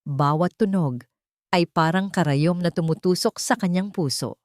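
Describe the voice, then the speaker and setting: A woman's voice: on the low side, moderate pace, a little mellow, no room sound. A woman giving scripted, dramatic narration of audio fiction.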